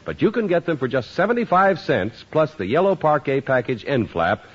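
A male announcer reading a 1950s radio commercial in a smooth, persuasive, polished delivery. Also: on the high side, sing-song, normal speed. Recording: dull 1950s radio recording.

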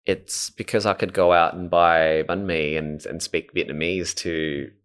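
Male podcast host, Australian accent, deep register, thoughtful, earnest conversational delivery. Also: steady tone, unhurried, a bit bright, clear, resonant tone. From Footscray, Australia. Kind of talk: reflective casual conversation.

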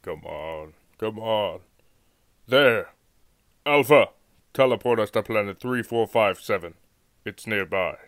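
A man's voice: lowish voice, expressive, slow pace, pauses often, fairly bright tone, no room sound, clean studio sound, pitched above the performer's own voice. A man voicing a commanding old alien leader in an audio drama, giving urgent, solemn orders.

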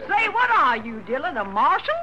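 Radio western casting: a hearty, bossy American strongwoman running a circus, high voice, heavy background noise. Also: big pitch swings, normal speed, muffled recording. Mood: folksy, friendly. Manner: folksy, bossy, boisterous. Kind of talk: 1950s radio western acting. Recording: noisy old radio broadcast recording.

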